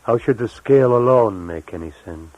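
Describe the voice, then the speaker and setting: A man reading poetry as a poet, in deliberate, measured phrases broken by pauses. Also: mid-range voice, lively intonation, no room sound, narrow, tinny audio. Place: live recorded reading, 1978.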